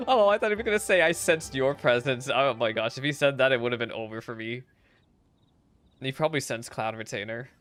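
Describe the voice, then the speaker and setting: Young woman streamer reacting to a game, low voice but super animated, close dry mic, faint music. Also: a little fast, no echo. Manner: giggly, excitable, teasing slang. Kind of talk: casual gaming reaction commentary.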